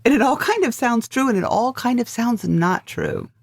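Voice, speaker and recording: A woman's voice: fairly high pitch, animated, normal speed, no room sound, clean studio sound. A woman from the United States co-hosting a podcast, chatting casually about stories she writes. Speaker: podcast co-host and story writer.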